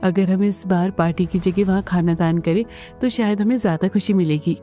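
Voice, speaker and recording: Gentle, timid young daughter-in-law, voiced by a woman. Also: on the low side, steady tone, moderate pace, faint music behind, natural voice. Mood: hesitant, earnest. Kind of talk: Hindi family cartoon dubbing.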